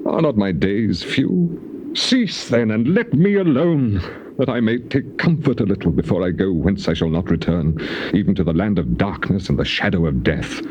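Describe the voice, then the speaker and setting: Anguished sufferer, sweeping low voice. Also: male voice. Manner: declamatory, archaic solemn phrasing. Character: anguished, bitterly sarcastic suffering righteous man of scripture. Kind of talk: dramatized scripture reading, classical stage acting. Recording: muffled old cassette tape with clear noise.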